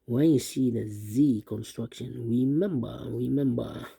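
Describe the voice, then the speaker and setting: Male host for a step-by-step puzzle lesson; relaxed, gravelly tone, mid pitch, halting speech with repeated phrases. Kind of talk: step-by-step spoken tutorial, unscripted.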